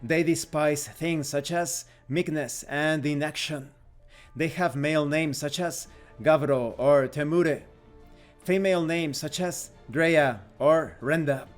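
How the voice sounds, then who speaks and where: A man's voice: on the high side, slow pace, soft background music. A man reading rulebook text aloud as scripted narration for a tabletop game review.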